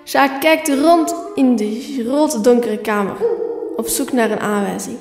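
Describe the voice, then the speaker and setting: A woman's voice: a little high, unhurried. A woman reading scripted narration for a radio play. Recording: echoey room, faint music.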